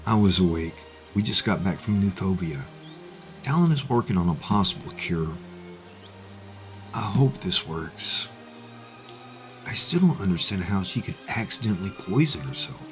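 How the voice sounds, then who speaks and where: A man plays an earnest young fantasy hero with a very low voice. His pitch moves around a great deal as he speaks, hesitant and full of feeling, protective of those he loves. Music plays behind him. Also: big pitch swings, measured pace, pauses often. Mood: worried, gentle. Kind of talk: fantasy audio drama acting.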